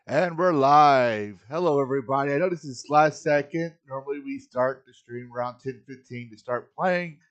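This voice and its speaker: A man's voice: mid-range voice, normal speed, few pauses, highs cut off. A man hosting a live game stream, talking earnestly and casually while greeting his chat. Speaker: livestream host.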